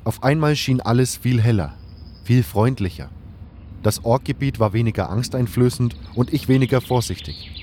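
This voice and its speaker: A man playing a thoughtful, earnest adventurer who tells his own story in an audio drama, in a measured scripted delivery. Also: medium pitch, lively intonation, dark tone, slight background noise, close to an everyday voice. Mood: reflective, contented. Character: first-person male adventurer hero, earnest and thoughtful. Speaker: narrator and lead character of a fan audio drama. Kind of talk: audio drama narration with in-character dialogue.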